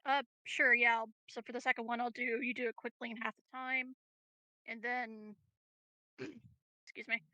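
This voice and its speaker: Bright, higher-voiced woman playing a tabletop game. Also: level tone, normal speed, long pauses, no echo, poor audio. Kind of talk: casual game-session chat.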